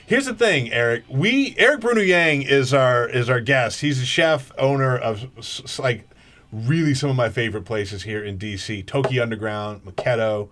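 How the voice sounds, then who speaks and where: A man hosting a talk radio show in a casual, energetic way, joking and laughing often as he interviews a guest. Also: medium pitch, normal speed. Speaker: fill-in radio show host.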